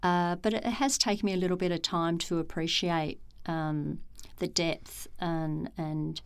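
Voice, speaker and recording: A middle-aged woman, a former executive turned meditation teacher, speaking in a calm, measured and thoughtful way with frequent pauses. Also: lowish voice, smooth. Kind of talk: reflective interview talk.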